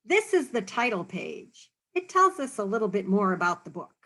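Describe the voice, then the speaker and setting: A woman's voice: medium pitch, sing-song, normal speed, bright, forceful tone. A woman reading a picture book aloud to children, stopping to ask questions and explain in a teacherly, encouraging way. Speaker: read-aloud storyteller for children.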